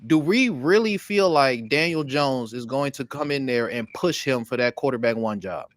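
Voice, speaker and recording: A man's voice: medium pitch, animated, normal speed, no echo, clean studio sound. A man moderating a casual, joking sports chat in slangy speech, repeating himself to get the floor. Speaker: talk show moderator.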